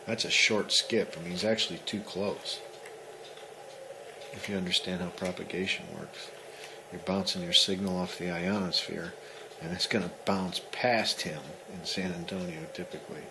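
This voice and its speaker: A man chatting casually as an amateur radio operator, explaining things and rambling as he thinks aloud. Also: deep voice. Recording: clearly noisy recording with ambient sound.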